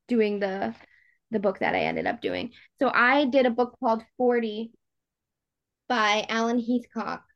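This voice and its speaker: Female podcast co-host, slightly high voice, chatty and slangy, for informal book talk. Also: dull audio. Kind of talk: casual book chat.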